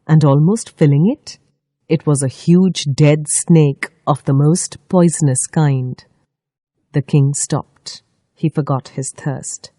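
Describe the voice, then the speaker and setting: A woman storyteller reading a scripted children's story with expressive, deliberate phrasing. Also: sits low, no echo, studio quality.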